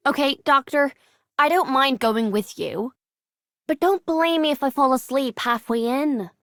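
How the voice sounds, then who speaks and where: A casual, dryly agreeable young game character. Her voice is high, in a dry close recording. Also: a little slow, fairly bright tone, no echo.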